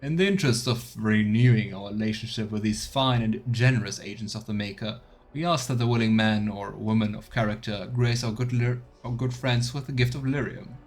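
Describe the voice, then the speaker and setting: A man's voice: lowish voice, brisk, hardly any pauses. Man doing chatty, playful game commentary. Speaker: streamer playing a fantasy role-playing game. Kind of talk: let's play commentary, reading quest text aloud.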